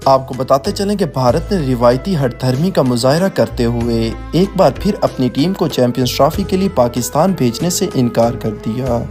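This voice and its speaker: A man's voice: mid-range voice, lively intonation, moderate pace, hardly any pauses, darkish tone. Pakistani man narrating news over music. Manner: formal, declarative news delivery. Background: background music.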